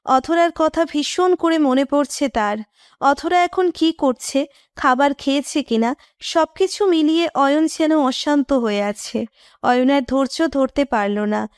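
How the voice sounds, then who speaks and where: A woman narrates a scripted romantic tale in a high voice. The sound is dry and close, with very little room around it. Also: measured pace, no room sound. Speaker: story narrator.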